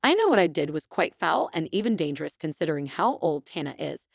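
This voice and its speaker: A woman reading a scripted first-person story in a dramatic, expressive, theatrical way. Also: deep voice, fairly quick, gravelly, no room sound, studio quality. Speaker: story narrator.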